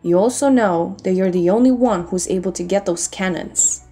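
A woman performs a guarded, bossy female pirate captain in a dramatic audio story. Her voice is dark and mellow, with plenty of gravelly fry. She speaks curtly and with command over faint music. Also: mid-range voice, normal speed, lightly acted. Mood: firm, angry. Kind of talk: dramatic audio roleplay acting.

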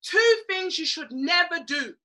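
A woman's voice: very high voice, expressive, measured pace, pushed and bright. A middle-aged Jamaican woman from Jamaica giving an emphatic, preachy motivational talk on a webcam video call, repeating lines for effect. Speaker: mentor.

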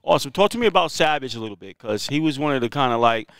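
A man's voice: medium pitch, expressive, racing, creaky, fairly bright tone, clear, resonant tone, no room sound. A man interviewing a coach after a game, asking casual, encouraging questions. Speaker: sports interviewer.

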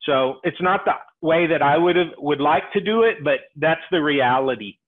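A middle-aged man presenting a live software tutorial, explaining things step by step over a headset on a video call. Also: on the high side, moderate pace. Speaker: webinar presenter demonstrating software. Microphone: earpiece headset on a video call. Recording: tinny, phone-like webinar audio.

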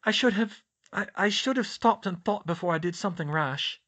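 A sharp-tongued teenage witch girl with a tight, bright, high voice, put on by a male reader. She pushes her words out loudly, and her pitch leaps and dips with every thought. This is a storybook reading. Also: lively intonation, normal speed, exaggerated voice. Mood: flustered apology. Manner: high, strained, outraged outbursts. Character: young teen witch girl, clever, anxious, quick-tempered.

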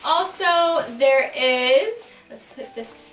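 A woman's voice: high voice, animated, drawn-out words, fairly bright tone, echoey room, poor audio. A young woman vlogger chatting casually as she shows off a product at home, full of "like" and "um". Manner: chatty, upbeat, filler-heavy. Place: home room with wooden floor.

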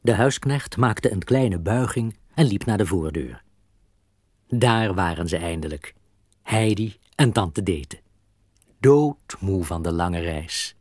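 A man's voice: low-pitched, animated, takes it slowly, a little mellow, breathy edge, dry recording, studio quality. A man narrating a scripted children's story as a storyteller.

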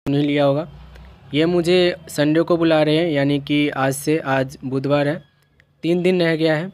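A man's voice: a little high, fairly even intonation, moderate pace, a little mellow. A man explaining things to viewers in a vlog, repeating and rephrasing his points as he goes. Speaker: YouTuber helping open-school students.

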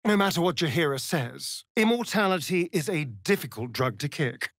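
Sly theatrical vampire with sweeping pitch. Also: male voice, animated, moderate pace, a bit bright, no echo, clean studio sound. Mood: sardonic, flirtatious. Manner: theatrical, drawling, sardonic. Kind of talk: video game character acting.

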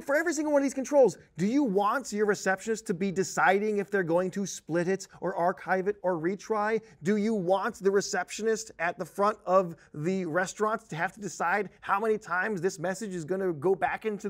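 A man in his thirties presenting a software tutorial in an animated, conversational, emphatic manner. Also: high voice. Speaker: software trainer. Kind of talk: tutorial presentation with on-screen demonstration. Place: indoor presentation studio at a desk.

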